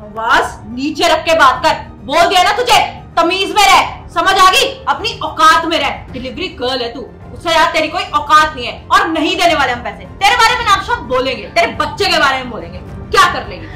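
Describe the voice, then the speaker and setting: A woman's voice: high voice, big pitch swings, quick pace, hardly any pauses, bright, forceful tone, full character voice. A young woman playing a haughty, cruel customer in a melodramatic skit, scolding with contempt. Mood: angry, threatening. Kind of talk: melodramatic scripted Hindi skit acting. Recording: roomy echo, faint music behind.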